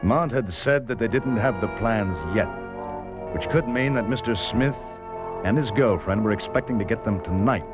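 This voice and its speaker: An actor playing a cool, watchful undercover agent in a 1950s radio drama, terse and hard-boiled. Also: male voice, lowish voice, normal speed, lightly acted. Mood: tense, wry. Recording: dull old radio recording, loud music.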